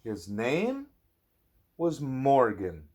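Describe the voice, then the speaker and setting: Animated man reading a storybook, slow-paced. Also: mid-range voice. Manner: animated, measured, dramatic pauses. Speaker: father reading a storybook aloud to a child. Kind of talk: expressive storybook narration.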